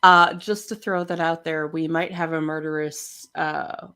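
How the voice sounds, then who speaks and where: A woman co-hosting a casual podcast, excitable and playful, often laughing and joking around. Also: sits low, unhurried, smooth. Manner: bubbly and excitable, lots of laughs and playful put-on voices. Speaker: podcast co-host. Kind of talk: casual live-stream chat.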